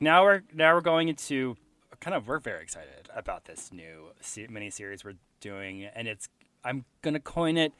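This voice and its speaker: A man's voice: a little high, bright, forceful tone. A man co-hosting a podcast in casual chat, chatty with frequent restarts. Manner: chatty, laughing, trailing restarts. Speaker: podcast co-host.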